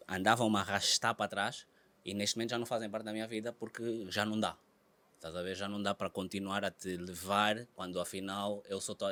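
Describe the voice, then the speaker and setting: A man from Angola speaking Portuguese with an Angolan accent, a comedian talking casually on a podcast who repeats himself and jumps high and loud when he tells a story. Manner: animated, repeats words, bursts high and loud when telling stories. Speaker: comedian guest.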